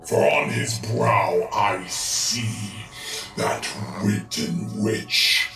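Audio drama spirit, aging and dying ghost: grave menace, very slow, distant and echoing. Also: male voice, fairly low pitch, steady tone, bright, forceful tone, faint music behind, exaggerated voice. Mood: ominous warning. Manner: slow, ominous, drawn-out pronouncements.

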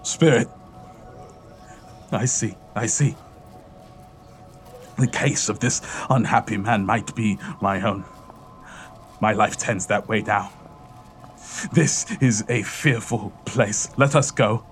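A man playing a fearful, penitent old miser who pleads gravely and haltingly in an audio drama. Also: on the low side, soft background music. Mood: troubled.

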